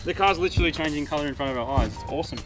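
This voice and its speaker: Australian man, rapid chatty delivery, casual car-show host, clear background music. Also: a little high, poor audio. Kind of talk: casual explaining to camera.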